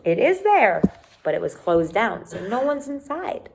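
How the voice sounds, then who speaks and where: A woman's voice: mid-range voice, big pitch swings, measured pace, gravelly, noisy background, voice pushed up. A woman voicing an earnest young girl in a storybook, speaking in an emphatic, coaxing way. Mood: reassuring. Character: earnest, reassuring young girl companion, always eager.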